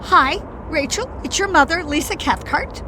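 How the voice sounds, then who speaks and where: A woman's voice: high-pitched, normal speed. A woman playing an anxious, dramatic middle-aged mother in an audio drama, flustered and a little prim. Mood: flustered, defensive. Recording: faint noise behind the voice.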